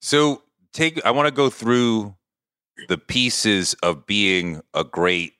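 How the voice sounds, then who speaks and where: A man's voice: lowish voice, expressive, takes it slowly, pushed and bright, clear, resonant tone, no room sound, clean studio sound. A man hosting a podcast interview, asking thoughtful, probing questions in a casual tone. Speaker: podcast host.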